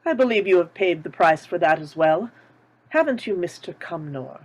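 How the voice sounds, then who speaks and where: A woman's voice: fairly low pitch, animated, normal speed, poor audio. A woman playing a weary, knowing old woman with a secret, in a screenplay table read. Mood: weary, pointed.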